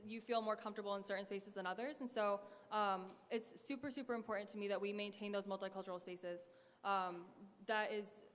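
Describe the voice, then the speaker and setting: A woman's voice: mid-range voice, smooth. A woman in her twenties, a student candidate answering debate questions, hesitant with many fillers and restarts. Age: 20s. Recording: echoey hall.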